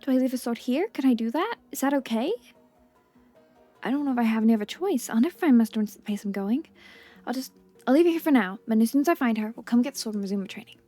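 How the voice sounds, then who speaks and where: Stubborn, worn-out young swordswoman acted by a woman, high voice, earnest video game heroine. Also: lively intonation, fast talker, warm tone, faint music behind. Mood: anxious, determined. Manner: earnest, hesitant, self-questioning. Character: driven, exhausted, stubborn young woman warrior.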